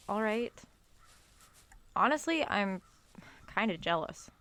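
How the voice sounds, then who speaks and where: An actress as a slow-paced, secretive college girl. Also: medium pitch, lively intonation, fairly bright tone. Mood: casual, awkward. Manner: casual, slangy, hesitant. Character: secretive, frustrated college-age granddaughter on a search. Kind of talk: radio drama acting.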